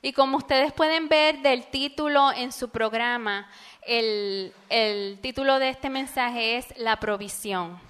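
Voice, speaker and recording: A woman interpreting a sermon phrase by phrase, quick and fluid, in a church. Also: a little high, a bit bright, clear, resonant tone, in a large hall. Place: church sanctuary.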